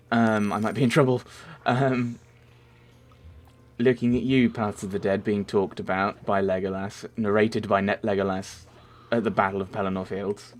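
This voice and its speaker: A man's voice: on the low side, fairly even intonation, unhurried. A young man from Merseyside answering viewer questions in a casual, rambling voice-over, with many ums and self-corrections. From Merseyside, England. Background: faint game music.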